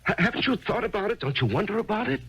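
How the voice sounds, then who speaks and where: An actor in a 1970s radio drama playing a grieving, bewildered writer who stammers and keeps pressing with questions. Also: male voice, high voice, animated, very fast, a bit bright, breathy edge, full character voice. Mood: flustered, prying. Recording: dull lo-fi archival radio broadcast.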